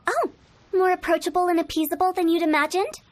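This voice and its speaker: A woman voicing a mischievous young female funeral parlor director in a game, playful and teasing, with spooky jokes and a salesy pitch. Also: a little fast. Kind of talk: video game voice acting. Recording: game audio with faint music. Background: faint game music.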